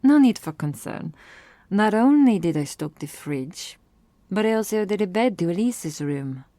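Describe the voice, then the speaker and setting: A close, dry ASMR roleplay voice: a woman as a courteous, protective vampire sister. She speaks very slowly in a soft, mellow tone. Her phrasing is formal, with a gently teasing edge. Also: mid-range voice, animated, no room sound, lightly acted. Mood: gracious, teasing.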